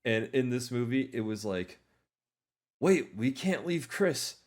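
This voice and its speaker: A man co-hosting a podcast, talking casually about films in a rambling, animated storytelling way with plenty of exaggeration. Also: medium pitch, slow. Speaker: podcast co-host. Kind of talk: casual movie discussion chat.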